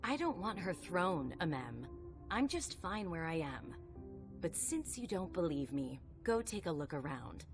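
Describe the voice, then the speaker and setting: A female vampire sire in a video game cutscene, her voice notably deep. She is cynical and guarded yet protective, speaking with dry, emphatic irony over prominent music. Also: sing-song, measured pace. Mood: wary, warning. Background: background music.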